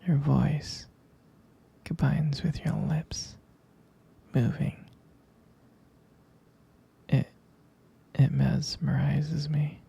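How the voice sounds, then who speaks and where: ASMR roleplay boyfriend, male actor: tender and devoted, hushed and intimate, gravelly fry, unhurried delivery. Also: a little high, level tone, exaggerated voice. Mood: adoring. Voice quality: breathy, husky whisper. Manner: slow, doting, lingering over words. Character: tender, devoted boyfriend comforting his pregnant partner.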